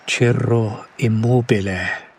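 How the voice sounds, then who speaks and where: A man playing a condescending, smitten kidnapper in an ASMR roleplay, speaking in a hushed, breathy, lingering way. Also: mid-range voice, fairly even intonation, slow pace, slight background noise. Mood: hushed, admiring. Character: condescending yandere male kidnapper, smitten with his captive. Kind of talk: whispered ASMR roleplay, slow soft recitation.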